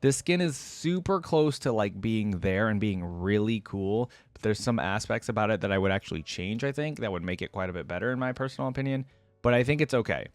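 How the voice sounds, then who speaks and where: A man giving casual, opinionated reaction commentary in an informal way, often softening his views as he goes. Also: lowish voice, expressive, fairly quick, no room sound, faint music behind. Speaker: reaction video host.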